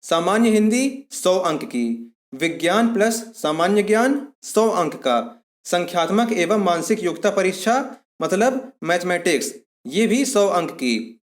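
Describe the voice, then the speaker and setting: Man, high-pitched voice, exam instructor, explanatory narration, methodical delivery. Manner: methodical, restates points in simpler words.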